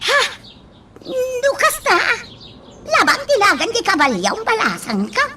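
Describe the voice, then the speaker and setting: A woman's voice: very high-pitched, wildly swooping pitch, a little slow, very noisy, heavily acted. An actress playing a flustered, fussing household woman in a comic radio drama, full of fretful wailing exclamations. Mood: startled, evasive. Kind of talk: comedic radio drama acting.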